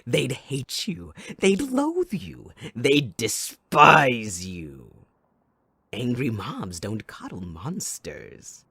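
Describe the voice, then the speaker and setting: A man playing a mocking, possessive, sadistic villain in an ASMR audio roleplay, with a taunting, drawn-out theatrical delivery. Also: a little high, sharp tone, breathy edge, no echo, full character voice. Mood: cruel, possessive.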